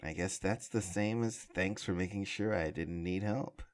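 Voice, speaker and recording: A man's voice: sits low, moderate pace, close to an everyday voice. A man voicing a sly, flirtatious society woman with a wry, teasing manner in a comic book drama.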